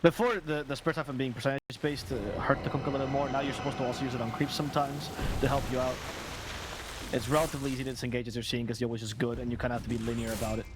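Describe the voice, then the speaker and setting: A man co-casting a live esports match, laughing often and breaking into excited outbursts. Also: medium pitch, very fast, background noise. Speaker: esports co-caster. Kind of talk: live game commentary.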